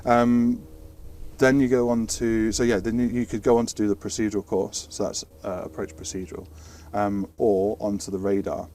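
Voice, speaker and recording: A man's voice: fairly low pitch, level tone, normal speed, mellow. A British man chatting informally as he explains technical air traffic control work, hesitating and restarting words as he goes. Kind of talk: informal explanatory interview chat. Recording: distant mic, faint background noise and ambience.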